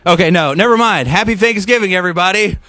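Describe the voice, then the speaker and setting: High-pitched man reading a story aloud. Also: fast talker, sharp tone. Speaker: radio host. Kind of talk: animated storybook read-aloud with off-the-cuff asides.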